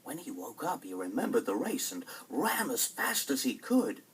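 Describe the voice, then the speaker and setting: Male bedtime storyteller: lively, swooping delivery, slightly husky, on an old vinyl record with music. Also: medium pitch, moderate pace, far from the mic. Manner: dramatic, expressive. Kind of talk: scripted children's bedtime story narration.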